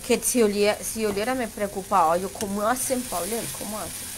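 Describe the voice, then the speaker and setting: A woman's voice: mid-range voice, fairly quick, crowd noise. A woman with a Colombian accent chatting casually as a co-host who relays viewer comments. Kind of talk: casual live chat. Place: home kitchen, indoors. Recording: noisy kitchen with sizzling pan.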